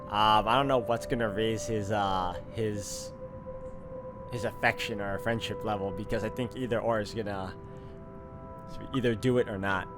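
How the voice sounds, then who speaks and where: A man's voice: fairly low pitch, steady tone, normal speed. A man playing through a visual novel, reading its text aloud and adding casual commentary. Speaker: game streamer doing a walkthrough. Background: game music.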